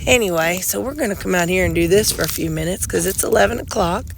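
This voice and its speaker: A middle-aged woman with a drawl talks in a loose, rambling way, full of restarts and asides. Her voice is a bit nasal with a gravelly edge. She is recorded on a handheld phone outdoors, with a faint hiss of noise underneath. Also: on the low side, quick pace. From Missouri, United States. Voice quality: slightly nasal. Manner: rambling, chatty, folksy with frequent restarts; pulling weeds while talking. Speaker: vlogger. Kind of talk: casual chat to camera. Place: outdoors beside a gravel bed and sidewalk. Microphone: phone held in hand.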